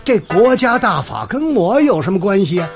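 A man's voice: high-pitched, big pitch swings, normal speed, creaky, faint music behind, exaggerated voice. An actor playing a chatty retired courthouse doorman in a Chinese radio drama, an older man with a Beijing accent and a folksy, theatrical storytelling manner. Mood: folksy, enthusiastic. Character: chatty retired courthouse doorman, eager neighborhood law volunteer. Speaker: storytelling host and lead character of a radio drama.

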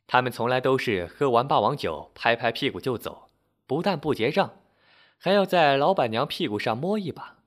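A man's voice: mid-range voice, expressive, normal speed, natural voice. A man voicing a shrewd, teasing tavern landlady with a sly, confident manner in an audio story.

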